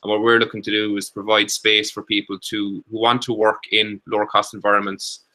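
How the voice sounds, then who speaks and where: An Irish man on a video call, voice sitting low and sounding very bright and forward. He talks haltingly, stopping and restarting often, with a flat, even tone. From Ireland. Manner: halting, many restarts and fillers. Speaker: panelist, co-working space founder. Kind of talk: online panel introduction.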